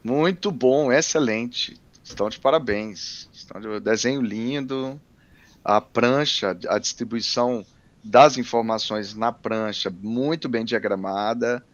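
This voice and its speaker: A man's voice: medium pitch, measured pace, no room sound. Muffled man praising student work. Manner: warm praise, repeats words of approval. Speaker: architecture professor. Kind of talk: giving feedback on student projects.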